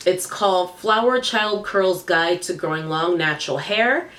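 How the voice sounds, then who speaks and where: A woman's voice: lowish voice, a little slow, fairly bright tone, a little hiss or hum. A young woman reviewing hair products in a chatty, upbeat vlog, sounding congested and nasal from a cold. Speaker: beauty vlogger. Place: small room indoors.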